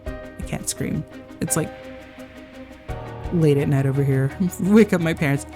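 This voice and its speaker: A woman doing a playful let's-play of a visual novel, reading and commenting in a theatrical, expressive way. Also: deep voice, a little slow, faint music behind.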